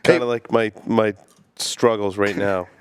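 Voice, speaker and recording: A man's voice: fairly low pitch, steady tone, measured pace, smooth, dry recording. A man co-hosting a cigar podcast, joking and bantering in casual panel chat.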